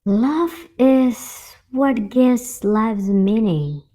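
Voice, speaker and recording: A gentle young nymph from a children's fairy tale, voiced by a woman. She speaks very slowly in a mellow tone, kind and earnest, each phrase measured. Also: on the high side, lively intonation, full character voice. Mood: gentle, encouraging.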